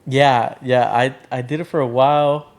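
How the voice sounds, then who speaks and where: A man in his thirties from Venezuela, chatting casually as a podcast guest, with a relaxed, rambling manner full of fillers. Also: mid-range voice, normal speed. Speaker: guest, drink brand founder. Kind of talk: casual interview chat.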